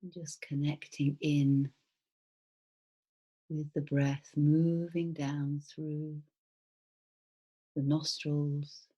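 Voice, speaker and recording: Female meditation guide, low and breathy, soft and soothing, very unhurried delivery with long pauses. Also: level tone. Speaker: mindfulness teacher. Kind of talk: guided body scan meditation.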